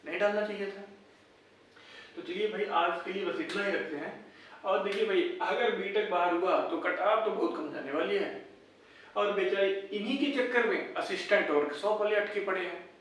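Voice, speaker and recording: High-voiced man in a very echoey room. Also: moderate pace, fairly bright tone, a little hiss or hum. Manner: blunt, argumentative, rhetorical questions. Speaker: YouTube exam-update host. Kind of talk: informal opinionated commentary. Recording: echoey room, distant mic.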